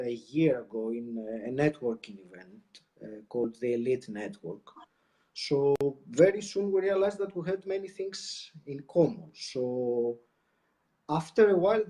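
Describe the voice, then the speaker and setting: A man from Greece, a guest co-host describing his podcast in a relaxed live-stream chat, pausing often with "uh". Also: a little high, rough recording. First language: Greek. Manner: measured, many "uh" pauses. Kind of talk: casual interview chat.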